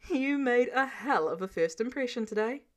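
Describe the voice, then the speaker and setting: A woman's voice: a little high, expressive, normal speed, natural voice. Woman playing weary superhero father figure, male, dry, wry, exasperated, audiobook dialogue. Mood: wry, stern.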